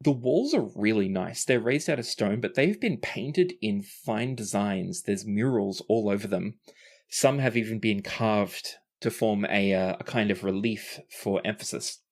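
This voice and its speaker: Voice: medium pitch, a little slow, a little mellow. A game master and host narrating a tabletop roleplaying podcast in a descriptive way, sometimes self-correcting.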